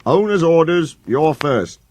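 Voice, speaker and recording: A man's voice: a little high, big pitch swings, unhurried, a little mellow, slight background noise, pitched above the performer's own voice. A man voicing a firm, no-nonsense male railway worker in a children's story, giving orders.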